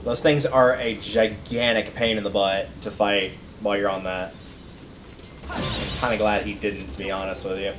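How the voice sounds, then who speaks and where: A man's voice: fairly low pitch, level tone, moderate pace, noisy background. A man giving casual live commentary on a video game, thinking aloud in colloquial language. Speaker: game livestreamer. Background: video game music.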